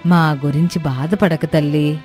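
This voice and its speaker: A woman playing a gentle middle-aged village mother in a cartoon moral story, speaking in a tender, soothing way. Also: deep voice, fairly even intonation, measured pace, faint music behind. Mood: tender, consoling.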